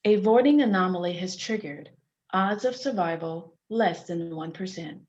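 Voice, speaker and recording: A woman's voice: fairly low pitch, lively intonation, moderate pace, darkish tone, natural voice. A woman playing a formal, impassive spaceship AI in a screenplay table read, on a dull lo-fi line.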